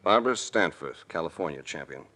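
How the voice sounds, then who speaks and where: An actor playing a composed, dryly witty adventurer-detective, narrating and talking in 1940s radio drama style. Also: male voice, low-pitched, animated, brisk, sharp tone, exaggerated voice. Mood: matter-of-fact. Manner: dry, composed, articulate. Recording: vintage radio recording with faint noise.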